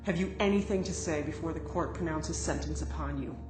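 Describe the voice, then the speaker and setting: An actress playing a formal, stern judge in a radio drama, measured and speaking in an echoey room. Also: female voice, deep voice, a little mellow, natural voice. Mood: solemn. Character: formal, stern female judge passing sentence. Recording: echoey room, music behind.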